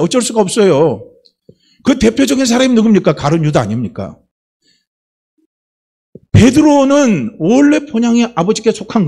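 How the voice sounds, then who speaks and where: A man's voice: high-pitched, slightly rough. A male pastor from Chungcheong preaching a Sunday sermon, asking the congregation rhetorical questions. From Chungcheong, South Korea.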